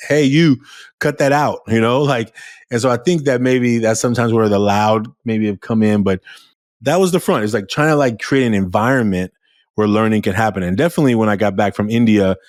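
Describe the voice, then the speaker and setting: A man hosting an interview podcast, talking in a loud, animated way with frequent restarts. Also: fairly low pitch, no room sound, studio quality. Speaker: podcast host. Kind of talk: casual interview chat.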